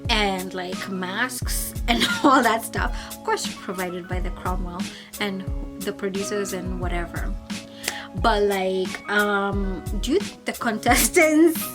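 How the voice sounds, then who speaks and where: A young woman from Kenya reviewing a reality show in a casual vlog, sassy and animated, acting out the people she quotes. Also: medium pitch.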